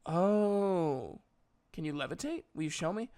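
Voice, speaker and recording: A man's voice: high-pitched, expressive, unhurried, a little mellow, smooth. A man voicing an earnest, humble nine-year-old prince in a storybook reading, with hesitant, formal courtesy. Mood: amazed, eager.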